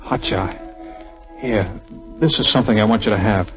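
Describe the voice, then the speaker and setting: An actor with an American accent playing a wry, guarded, lovestruck major in a 1940s radio drama, in a halting, casual, slangy way. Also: male voice, on the low side, lightly acted. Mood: tender, hesitant. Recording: muffled old 1940s radio recording with music.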